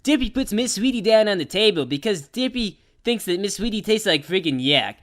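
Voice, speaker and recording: A woman's voice: medium pitch, lively intonation, fairly quick, close to an everyday voice. A woman voicing a brash young female pony who narrates a children's story in simple childlike phrases mixed with sardonic slang. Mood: animated, irreverent.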